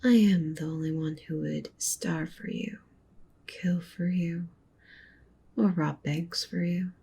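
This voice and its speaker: A woman voicing a doting, possessive, dominant wolf witch girlfriend in an ASMR roleplay, softly coaxing and commanding in a breathy near-whisper. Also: steady tone, slow, exaggerated voice. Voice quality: soft, breathy whisper mixed with low murmur.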